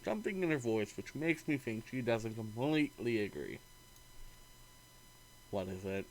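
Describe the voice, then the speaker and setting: A man's voice: medium pitch, very animated, normal speed, slight background noise, poor audio. A man reading a visual novel aloud in a let's play, narrating the story and acting out the dialogue expressively.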